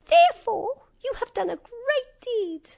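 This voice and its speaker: Storybook mouse queen: tiny, haughty, squeaky, pitched far up, swooping delivery. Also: big pitch swings, a little slow, vocal fry, darkish tone, heavily acted. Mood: regal. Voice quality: squeaky, little voice. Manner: regal, indignant, exclamatory. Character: tiny proud female field mouse queen, squeaky and excitable.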